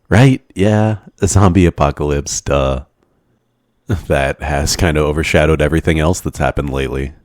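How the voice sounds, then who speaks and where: A man playing a shy, obsessively smitten young survivor in an ASMR boyfriend roleplay, speaking softly and hesitantly, close to the microphone. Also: rumbling low, no echo. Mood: shy, awkward. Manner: hesitant, stammering, soft-spoken awkward delivery. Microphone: close microphone, dry sound.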